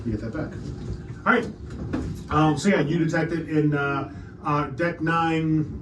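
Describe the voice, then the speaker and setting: A man running a tabletop role-playing game as game master, speaking in a halting, hesitant way. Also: on the high side, little pitch movement. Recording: roomy, distant mic, faint noise.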